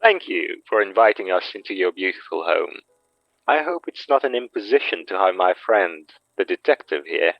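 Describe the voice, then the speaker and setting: An actor playing a courteous, charming guru in an audio drama. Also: male voice, low voice, expressive, moderate pace, vocal fry, no room sound, muffled recording. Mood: courteous, guarded. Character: courteous, charming male spiritual guru paying a visit.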